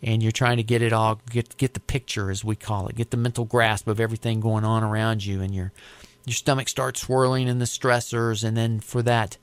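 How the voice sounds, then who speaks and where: A man's voice: fairly low pitch, little pitch movement, brisk, clear, resonant tone, no echo. A middle-aged man with an American accent explaining his career in an informal interview, conversational, often repeating words as he thinks. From United States. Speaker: interviewee, air traffic controller.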